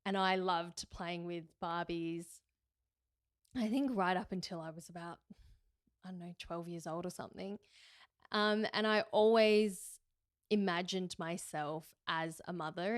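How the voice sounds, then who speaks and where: A woman's voice: on the low side, smooth, no echo, studio quality. A woman, hosting a podcast and talking through her own life in a candid, reflective way with fillers and self-corrections. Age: 30s. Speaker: podcast host. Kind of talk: reflective solo podcast talk.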